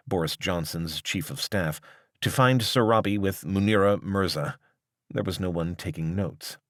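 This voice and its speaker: A man with a low voice narrates a piece of written journalism. His delivery is scripted, measured and articulate, with a formal tone. The sound is dry, with very little room around the voice. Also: no echo. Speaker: narrator reading a long-form article.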